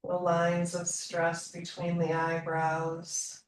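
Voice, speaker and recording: A woman leading a slow guided meditation in a calm, soothing manner, leaving long pauses between phrases. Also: fairly low pitch. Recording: roomy echo, distant mic, faint noise.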